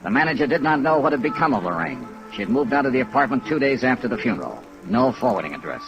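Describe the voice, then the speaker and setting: An actor playing a wry, hard-boiled American insurance investigator, clipped and laconic, in 1950s radio detective style. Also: male voice, medium pitch, very animated, fast talker, muffled recording, over music. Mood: wry narrating. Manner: clipped, laconic, matter-of-fact. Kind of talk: 1950s radio detective acting, first-person narration. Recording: noisy vintage 1950s radio recording.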